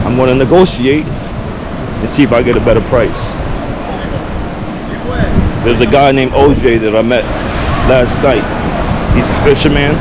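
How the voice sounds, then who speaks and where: A man's voice: medium pitch, heavy background noise. An American man from New York, talking casually to camera as a travel vlogger on a beach, loose and chatty with lots of filler words. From United States, New York. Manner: loose and chatty, many "you know" and "like" fillers. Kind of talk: casual vlog chat to camera. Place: outdoors on a beach.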